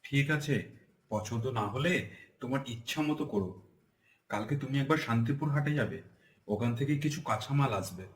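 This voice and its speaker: Guy doing pushy businessman dad from a cartoon, middle aged, reassuring but dismissive, avg pitch, faint noise. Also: fairly quick, pauses often, close to an everyday voice. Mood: insistent. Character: persuasive, dismissive middle-aged merchant father. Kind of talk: cartoon voice acting.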